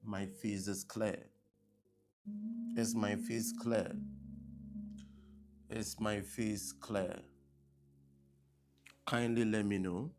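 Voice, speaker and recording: Male preacher, live stream on a laptop mic, slow repetitive delivery, lowish voice, faint guitar behind. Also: level tone. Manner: repetitive, checking with online viewers. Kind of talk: live-streamed sermon opening.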